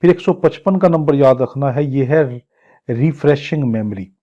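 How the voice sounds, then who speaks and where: A man's voice: medium pitch, normal speed, warm tone. A man with a Pakistani accent teaching as a law lecturer, drilling points repetitively and mixing in English technical terms. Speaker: law lecturer, exam coach. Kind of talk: instructional lecture with English legal terms mixed in. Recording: dull, muffled lo-fi audio.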